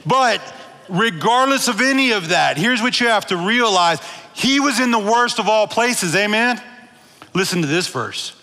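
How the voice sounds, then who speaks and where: A high-pitched, bright-toned man in his thirties preaches with lively gestures, calling out to his congregation. He speaks into a headset mic, and his voice rings out with the long reverb of a large church auditorium. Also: moderate pace. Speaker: pastor. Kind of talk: sermon. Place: large church stage with blue lights.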